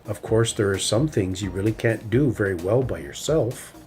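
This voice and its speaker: A man voicing a patient, gentle mother bear who speaks quietly. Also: lowish voice, normal speed, close to an everyday voice. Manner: quiet, soft-spoken.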